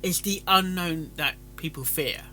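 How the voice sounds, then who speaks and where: A man with a bright, forward voice that sits a little high. He talks as a stream host in loose, rambling commentary, stumbling and repeating words. Faint guitar music plays behind him. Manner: halting, stammering, repeats words. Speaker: host of a fan fiction reading stream.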